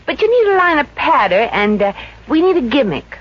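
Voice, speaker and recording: A woman's voice: high-pitched, big pitch swings, brisk. A brassy, wisecracking showgirl. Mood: scheming. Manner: sassy, sharp-tongued quips. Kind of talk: 1950s radio comedy acting. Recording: dull old radio transcription.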